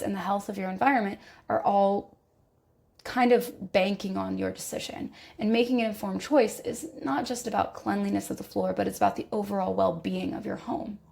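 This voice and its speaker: A woman's voice: mid-range voice, a little mellow. A woman hosting a podcast in a conversational way, her voice scratchy from a recent illness and a lingering cough. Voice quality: scratchy, gravelly voice. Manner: conversational, with fillers and self-corrections. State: recovering from illness, slight cough. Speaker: podcast host. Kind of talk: casual informational podcast.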